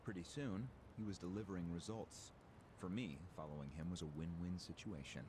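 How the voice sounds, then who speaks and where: Actor as a deep-voiced, smug political schemer. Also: male voice, background noise, natural voice. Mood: cynical, confident. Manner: measured, smug, explanatory. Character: calculating, cynical male political operative recounting a grand scheme. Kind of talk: English video game voice acting. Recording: game audio with music behind. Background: game music.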